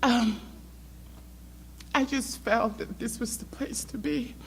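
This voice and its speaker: A woman's voice: fairly high pitch, slow, poor audio. A middle-aged woman from South America, raised in the Netherlands, giving a hesitant personal testimony with many ums. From born in South America, grew up in the Netherlands. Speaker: church member sharing testimony. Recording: faint background hum.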